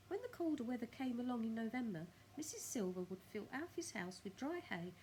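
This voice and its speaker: Female primary school storyteller: bright expressive reading, swooping intonation, careful dramatic phrasing, slight noise behind. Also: medium pitch, low-quality recording. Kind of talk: expressive children's story narration.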